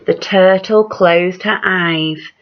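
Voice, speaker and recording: A woman reads a picture book to young children with unhurried, dramatic delivery. Her voice sits a little low, and she pauses to put questions to her listeners. The sound is slightly dull and lo-fi. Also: fairly even intonation. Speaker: teacher reading a picture book aloud. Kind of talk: expressive children's story reading with asides to young listeners.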